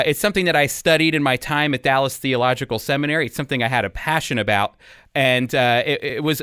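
A man's voice: a little high, a bit bright, clear, resonant tone, no room sound, clean studio sound. A man hosting a radio program, speaking conversationally as he introduces and interviews a guest. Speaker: radio show host and interviewer.

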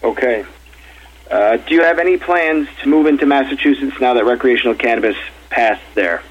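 A man's voice: mid-range voice, fairly quick. A man moderating an earnings call, reading out questions briefly and plainly. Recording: muffled lo-fi line, faint noise.

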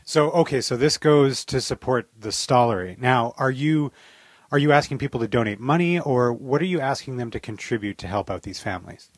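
Male interview host, Canadian accent. Medium pitch, informal, engaged, conversational questioning. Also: animated, brisk.

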